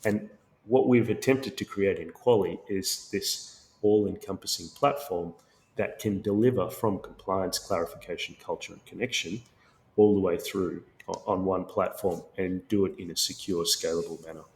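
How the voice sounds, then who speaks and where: A middle-aged man with an Australian accent, born in Sri Lanka, giving measured, explanatory answers as a co-founder guest in a business interview. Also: deep voice, creaky, rough recording. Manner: measured, explanatory, with occasional restarts.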